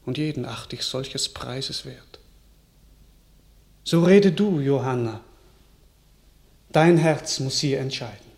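A man's voice: medium pitch, gravelly, darkish tone. A stately grateful king. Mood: gracious. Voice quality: stately, measured. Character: grateful, gracious young king. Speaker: actor in a radio play. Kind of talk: classic verse radio drama.

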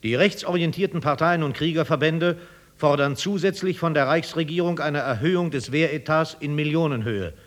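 An actor playing a chronicler who reports in formal, declarative documentary style within a radio drama. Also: male voice, a little high, normal speed, lightly acted. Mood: formal, emphatic. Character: male newsreel-style chronicler of 1920s Germany.

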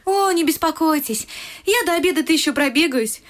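A woman's voice: sits high, expressive, a little fast. A woman playing a carefree, exuberant young country noblewoman in a classic vaudeville radio play, chirpy and girlish. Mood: carefree, eager.

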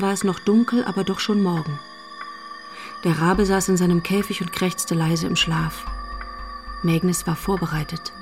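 A woman's voice: mid-range voice, lightly acted. An actress playing the composed storyteller of a crime radio drama, a woman reading scripted literary narration.

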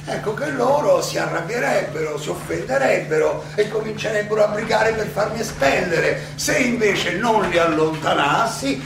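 An actor playing an aging Athenian philosopher who defends himself in a theatrical monologue, ironic and full of rhetorical questions and halting repetitions. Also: male voice, sits high, gravelly, exaggerated voice. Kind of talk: theatrical stage monologue, oratory. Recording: roomy, distant, noisy live stage recording.

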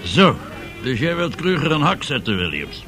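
An actor playing a shrewd, probing financier in a 1970s radio drama, calculating and conversational. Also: male voice, medium pitch, huge pitch swings, normal speed, pushed and bright, soft background music.

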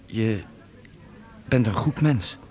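An actor playing an earnest, dreamy youth with wide-eyed enthusiasm in a radio play. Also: male voice, lowish voice, animated, slow pace, a little mellow. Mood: warm, sincere. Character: earnest, dreamy youth enraptured by colours, longing to paint. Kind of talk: Dutch radio play acting. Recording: noisy radio play recording.

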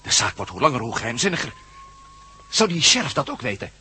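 An actor in a radio drama playing an inquisitive, blunt adventurer who asks probing, sceptical questions. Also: male voice, mid-range voice, sing-song, quick pace, bright, forceful tone, breathy edge, heavily acted. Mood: puzzled, probing. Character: inquisitive, blunt, impatient male amateur adventurer.

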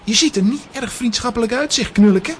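A woman's voice: fairly high pitch, a little fast, a little hiss or hum, close to an everyday voice. A woman playing a plucky, well-behaved young boy in a children's audio drama. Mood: challenging.

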